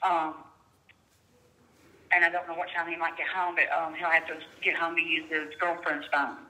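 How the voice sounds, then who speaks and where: A woman's voice: sits low, flat delivery, normal speed, bright, forceful tone. A middle-aged woman with a Southern US accent, talking politely and casually over a speakerphone call. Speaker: prank call recipient. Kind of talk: polite casual phone conversation. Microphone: telephone heard through speakerphone. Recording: tinny phone call on speaker.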